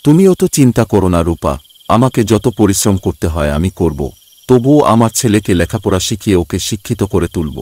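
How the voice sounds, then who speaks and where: Man acting a low-voiced weary village father. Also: sing-song, brisk, a little mellow. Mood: earnest, determined. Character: weary, devoted village labourer father with back pain. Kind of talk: cartoon voice acting.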